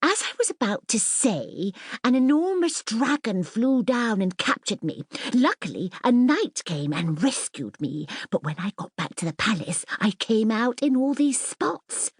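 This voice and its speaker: A woman's voice: on the high side, big pitch swings, a little slow, few pauses, husky, dry recording, pitched above the performer's own voice, exaggerated voice. A woman voicing a boastful, mischievous young princess in a grand, bossy, theatrical manner for a children's audiobook. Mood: grand, indignant.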